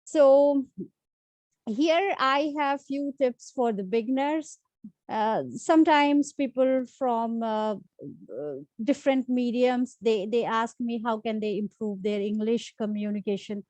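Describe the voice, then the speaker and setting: A woman's voice: on the high side, takes it slowly, a little mellow, dry recording. A woman from India with an Indian accent, whose first languages are Hindi and Urdu, hosting an unscripted lesson with many fillers and restarts. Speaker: channel host giving tips. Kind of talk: informal talk-to-camera lesson.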